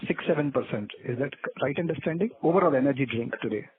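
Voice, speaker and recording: A man asking questions as an analyst on an earnings call, with halting, searching phrasing. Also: mid-range voice, lively intonation, husky. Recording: tinny phone line.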